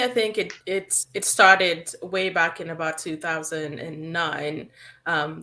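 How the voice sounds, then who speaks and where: A woman's voice: fairly low pitch, fairly bright tone. A woman therapist from Uganda, whose first language is Acholi, speaking earnestly and reflectively as an interview guest. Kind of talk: interview answers.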